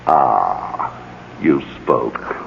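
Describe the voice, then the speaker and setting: An older husband's ghost in a radio drama, very low and gravelly. He pleads and coaxes in florid, theatrical swoops. The recording is dull, with some hiss and noise. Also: expressive, very slow, exaggerated voice. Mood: delighted, wounded. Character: clinging, wheedling ghost of a dead husband.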